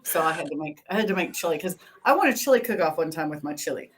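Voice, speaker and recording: Woman, 50s, from Texas, lowish voice, crude joking podcast banter. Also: expressive, quick pace, a little hiss or hum.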